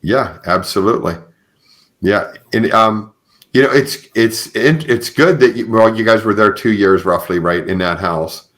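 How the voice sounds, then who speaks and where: A man with a notably low voice speaks as a podcast host. He talks in a conversational, earnest way, affirming the other person and peppering his phrases with "you know.". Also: unhurried, fairly bright tone. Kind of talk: conversational interviewing.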